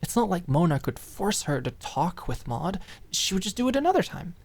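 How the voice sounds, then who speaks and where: A woman reading dramatic scripted fiction as an audiobook narrator, with expressive, emotive storytelling. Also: lowish voice, normal speed.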